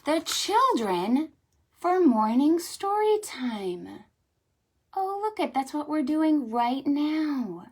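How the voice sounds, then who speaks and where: A woman leading a children's storytime, speaking in an animated sing-song way with exclamations and questions for young listeners. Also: high voice, slow. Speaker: children's librarian hosting storytime. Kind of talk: children's storytime with read-aloud and pretend play.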